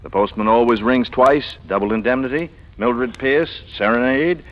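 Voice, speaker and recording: A man's voice: mid-range voice, normal speed. A man hosting a 1940s radio show in a courtly, formal, eloquent manner. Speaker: radio host. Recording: muffled 1940s radio recording.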